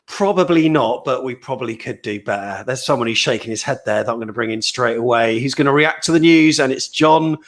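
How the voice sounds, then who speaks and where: A man from England hosting a casual live fan show, chatty and upbeat with quick asides. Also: mid-range voice. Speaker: fan channel host. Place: remote location on video call.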